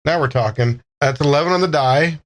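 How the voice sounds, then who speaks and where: A man's voice: on the high side, a little fast. A man playing a tabletop game, improvising casually in a playful, folksy, joking manner.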